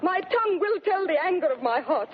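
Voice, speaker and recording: An old, dull-sounding radio broadcast with light noise carries a fiery British wife in Shakespeare. She declaims emphatically in a high, very animated voice, proud and sharp-tongued. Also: brisk. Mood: defiant. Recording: muffled, noisy vintage 1930s radio broadcast.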